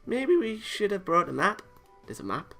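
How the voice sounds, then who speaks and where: A man narrates a visual novel as a let's play. His voice is forceful and gravelly, and he drops in casual swearing as he stumbles through lines. Music plays faintly underneath. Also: high-pitched, sing-song, normal speed. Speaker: let's player.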